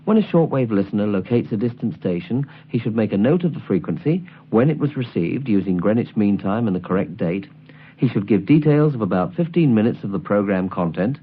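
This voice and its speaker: A low-voiced man delivers scripted instruction with widely varied intonation. He enunciates each point in a measured, precise way. The sound is thin and narrow, like an old late-1970s album recording. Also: husky. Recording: tinny, band-limited 1979 album recording.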